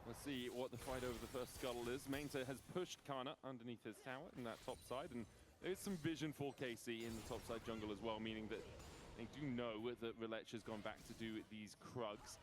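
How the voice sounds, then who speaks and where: A man calling play-by-play on an esports match in a measured way. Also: mid-range voice, steady tone, background noise. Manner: measured, narrating action. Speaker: esports caster. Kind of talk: play-by-play commentary.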